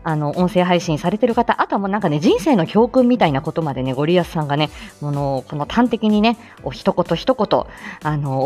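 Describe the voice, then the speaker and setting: A woman's voice: lowish voice, darkish tone. A woman hosting a live audio show, chatting casually and politely through morning announcements.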